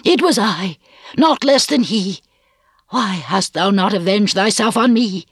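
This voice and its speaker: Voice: mid-range voice, expressive, unhurried, husky, dry recording, studio quality, voice pushed up. A reader voicing an anguished but resolute, dignified woman with solemn, archaic, pleading speech in a storybook reading.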